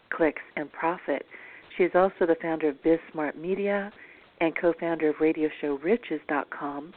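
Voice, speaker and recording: Hoarse chatty female radio host, tinny phone-line sound, laughs a lot. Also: low voice. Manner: chatty, laughs often, dramatic asides. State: hoarse, voice in rough shape. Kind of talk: interview radio chat.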